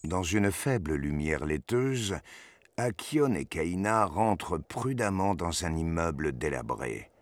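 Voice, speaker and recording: A man's voice: deep voice, very animated, slow pace, slight background noise. A man narrating an audio drama as a scripted storyteller. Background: music.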